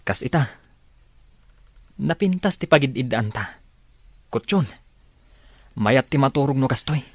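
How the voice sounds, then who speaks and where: A man's voice: medium pitch, lively intonation, unhurried. A man playing a contented, easygoing working man in an Ilocano drama. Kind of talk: Ilocano audio drama acting. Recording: clearly noisy, chirping crickets.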